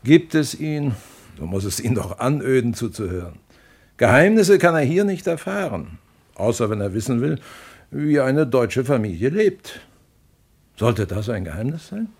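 An actor playing a worldly, dismissive embassy attaché in a radio play, lecturing emphatically. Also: male voice, medium pitch, darkish tone, breathy edge, full character voice. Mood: dismissive, ironic.

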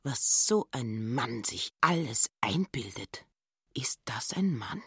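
An actress playing a petty, self-righteous housekeeper turned wife in a radio drama, indignant and insistent. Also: female voice, rumbling low, big pitch swings, slow, a bit bright, breathy edge, heavily acted. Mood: bitter muttering.

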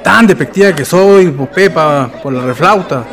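A man's voice: fairly high pitch, moderate pace, fairly bright tone. A man playing a henpecked, easygoing but complaining husband with a Chilean accent in a radio play. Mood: exasperated. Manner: exclaiming, complaining. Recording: music and crowd behind. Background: outdoor fair crowd and music.

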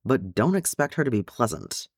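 A man voicing a gruff, impatient dwarf guard in an audiobook story, speaking in a curt, dismissive grunt. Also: mid-range voice, big pitch swings, moderate pace, no echo, clean studio sound, full character voice. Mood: grudging.

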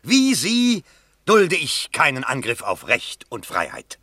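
An actor in a German radio play as an anxious, opportunistic middle-class man running for parliament, pompous and husky, wavering between muttering and declaiming. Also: medium pitch, wildly swooping pitch, slow, pushed and bright, no room sound, studio quality, heavily acted. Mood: declamatory.

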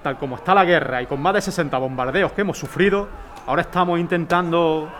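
Hombre interpretando a alcalde cortés y firme. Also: male voice, fairly high pitch, quick pace, fairly bright tone, a little hiss or hum, lightly acted. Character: principled city mayor, adult man, calm but firm. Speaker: mayor in a radio drama. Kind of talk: amateur radio drama acting.

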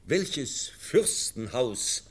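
A man's voice: medium pitch, very animated, very slow, slightly rough, exaggerated voice. An actor playing a worried, strict and earnest male professor, speaking emphatically in a 1950s German radio play. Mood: agitated, bitter. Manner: emphatic, exclamatory.

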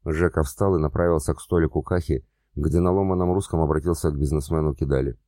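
A man reading a novel aloud as a steady audiobook narrator, voicing the characters with little change. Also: very deep voice, quick pace, no echo, studio quality. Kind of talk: scripted narration.

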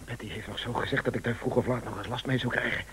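A man's voice: mid-range voice, fairly even intonation, fast, fairly bright tone, a little hiss or hum, natural voice. An actor playing a weary, fatalistic man in a radio play, resigned and confessing.

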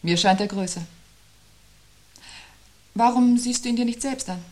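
An actress playing a sober, sceptical woman in a radio play, questioning her partner with dry, gentle irony. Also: on the high side, measured pace, lightly acted. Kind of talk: 1970s German radio play dialogue.

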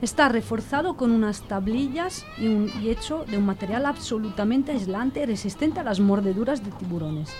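A woman playing a self-assured, proud wife in an audio drama, brisk and showing off. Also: fairly high pitch, expressive, music in the background, close to an everyday voice.

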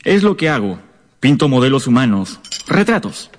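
A man's voice: medium pitch, big pitch swings, moderate pace, big-room sound, a little hiss or hum, close to an everyday voice. A man acting in a radio drama as a confident, hearty and sensual painter of peasant stock. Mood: smooth, self-assured.

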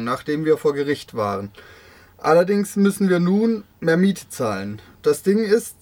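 Male voice-over reader, slightly high pitch, translated interview answers.

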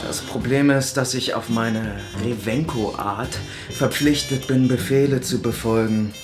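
Actor as a smug, spiteful Soviet officer. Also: male voice, medium pitch, a little slow, over music, close to an everyday voice. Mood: menacing, sarcastic. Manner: mock-polite, gloating, sarcastic. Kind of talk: German hardboiled radio crime drama acting.

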